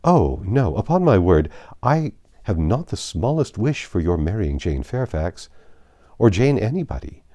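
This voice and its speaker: A man voicing a lively, opinionated young gentlewoman from a classic novel, speaking in an arch, emphatic and formal way. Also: lowish voice, measured pace, dry recording, pitched above the performer's own voice. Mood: protesting.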